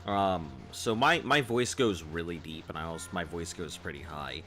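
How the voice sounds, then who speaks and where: A man with a baritone voice chatting casually with viewers on a live game stream, in a loose, rambling way. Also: moderate pace, fairly bright tone, faint music behind. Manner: rambling, loose, chuckling at chat.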